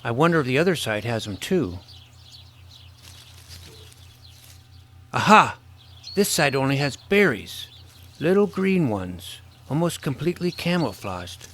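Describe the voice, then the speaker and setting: Man as an awkward young adventurer, earnest, boyish, casual, storytelling, mid-pitched, faint ambience behind. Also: sing-song, moderate pace, fairly bright tone, a little hiss or hum. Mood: curious, awkward. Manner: casual, boyish, hesitant phrasing. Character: earnest, awkward boy hero telling his adventure. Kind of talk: first-person scripted narration and acted dialogue.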